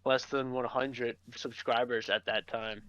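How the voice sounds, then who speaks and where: A man's voice: medium pitch, level tone, a little slow, fairly bright tone, smooth. A man co-hosting a podcast with casual, blunt, slangy banter and free swearing, on a slightly dull microphone. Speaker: podcast co-host.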